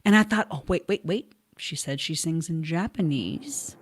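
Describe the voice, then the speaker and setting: A woman hosting a casual video call interview, talking in an excitable, playful way with frequent exclamations. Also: deep voice, moderate pace, a little hiss or hum. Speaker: interview host.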